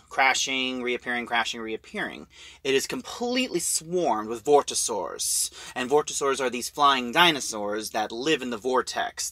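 Man, informal reviewer, lively swooping intonation, halting emphatic storytelling, close dry sound. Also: medium pitch, no echo. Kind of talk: informal spoken plot review of an audio drama.

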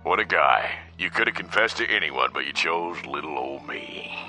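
A man's voice: extremely low pitch, animated, normal speed, bright, forceful tone, faint music behind, full character voice. An actor playing a sarcastic police detective needling his buddy in a radio drama. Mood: sarcastic banter.

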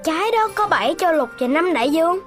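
A woman's voice: very high-pitched, expressive, fast talker, over music. A woman voicing a curious, cheerful little puppy boy in a children's cartoon, childlike and eager.

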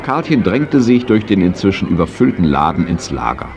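An actor narrating a children's horse story as storyteller in a German audio drama. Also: male voice, sits low, big pitch swings, normal speed, creaky, background noise, heavily acted. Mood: lively.

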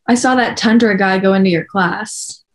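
A woman's voice: mid-range voice, normal speed, natural voice. A young woman playing a casual, hesitant teenage schoolgirl at a script read over a video call. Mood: friendly, hesitant. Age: young adult. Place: bedroom.

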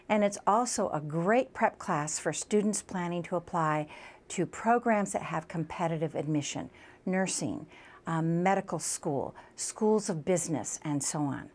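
A woman's voice: mid-range voice, darkish tone. A woman speaking as a college instructor, explaining a program in an emphatic, promotional way. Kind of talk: explanatory promotional talk about a class.

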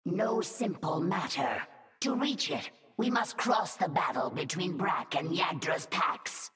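Slow, echoing insectoid brood mother. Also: on the low side, big pitch swings. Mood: commanding, urgent. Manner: imperious, deliberate, formal. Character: fierce, proud female alien insectoid brood mother. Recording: echoing, reverberant, slightly distant with music.

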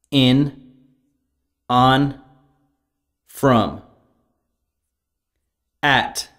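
Guy doing lesson drills, really slow and deliberate, medium pitch. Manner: deliberate, clearly enunciated. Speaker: language course narrator. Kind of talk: scripted lesson reading, word drills.

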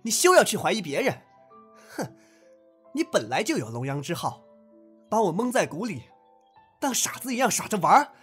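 Man acting a haughty young nobleman, husky, lively. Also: sits high, normal speed, pauses often, soft background music, full character voice. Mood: indignant, scornful. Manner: haughty, archaic turns of phrase. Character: proud, petulant young nobleman, easily offended. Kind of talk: audio drama acting.

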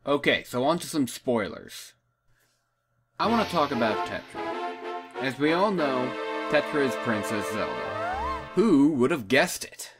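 Music plays clearly behind a man with a mid-range voice. He narrates a scripted video game review in an informal, casual way, offering his own opinions as he goes. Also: mid-range voice, wildly swooping pitch, measured pace. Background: background music.

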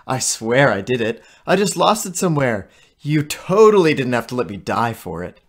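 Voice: mid-range voice, very animated, moderate pace. An actor playing a mocking fairy imitating a glib teenage student, flippant and taunting in an audio drama.

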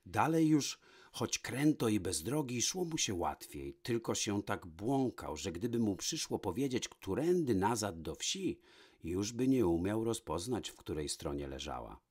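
Man, husky voice, fairy-tale narration, very animated intonation, dramatic. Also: fairly low pitch, a little slow. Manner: dramatic, with varied hushed and emphatic delivery. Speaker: storyteller. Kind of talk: expressive fairy-tale narration, read from a book.

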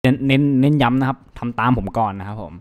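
A man giving a casual step-by-step software tutorial into a computer microphone, ending nearly every phrase with polite particles. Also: mid-range voice, quick pace, smooth. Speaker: tutorial host. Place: indoors at a computer, voice over screen capture.

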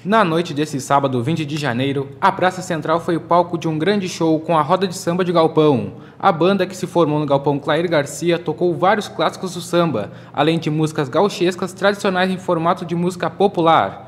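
A man's voice: a little high, steady tone. Man narrating a news report. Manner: measured report voice-over.

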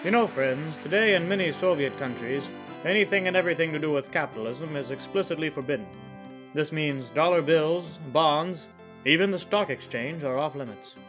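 Earnest, high-pitched old-time radio host played by a man. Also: normal speed, music in the background, close to an everyday voice. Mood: grave, earnest. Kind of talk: parody of golden-age radio announcing.

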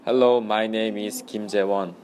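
A man of twenty, a student giving interview answers in simple, halting English. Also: on the low side, fairly even intonation, background noise. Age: 20s.

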